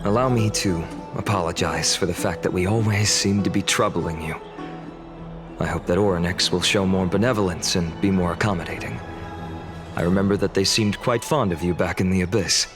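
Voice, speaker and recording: A low male voice over prominent background music, an actor playing a courteous young scholar-warrior in a video game. He speaks formally and earnestly, setting things out in measured, careful phrases. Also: close to an everyday voice. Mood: earnest, polite. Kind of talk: scripted video game dialogue.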